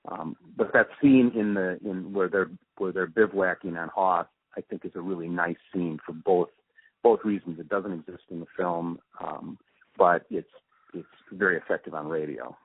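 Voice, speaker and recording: A man, middle-aged or older, answering interview questions in a thoughtful, explanatory, halting way. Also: sits low, fairly even intonation, husky, no echo, phone-quality sound. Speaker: interview guest, radio drama post-production supervisor.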